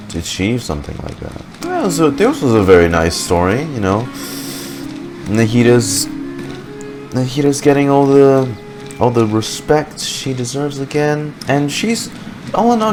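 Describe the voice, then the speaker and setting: A man's voice: mid-range voice, big pitch swings, moderate pace. A man giving casual gaming reaction commentary, informal and rambling as he thinks aloud. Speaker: gaming reaction video host. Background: game music.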